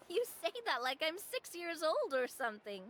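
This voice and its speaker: A woman's voice: very high-pitched, big pitch swings, measured pace, fairly bright tone, a little hiss or hum, full character voice. A bubbly, playful young woman. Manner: bubbly, laughs often. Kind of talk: video game dialogue.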